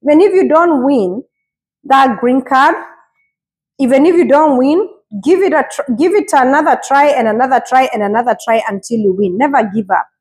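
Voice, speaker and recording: A woman speaks in a husky, fairly high voice. She talks earnestly and with encouragement, repeating her points, while she washes and chops vegetables in a home kitchen. Her voice is picked up by a lapel mic. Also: normal speed, darkish tone, poor audio. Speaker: vlogger. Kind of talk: casual motivational vlog.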